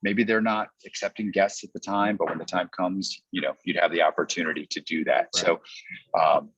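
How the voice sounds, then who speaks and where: A man with a notably deep voice talks as a coach in an informal training session. He explains in a conversational way, often voicing example lines as he goes. The sound is a bit dull and lo-fi. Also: steady tone, normal speed, a bit bright, breathy edge, dry recording. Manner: explanatory, acts out example pitches in quotes. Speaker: podcast coach. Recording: dull, muffled lo-fi audio.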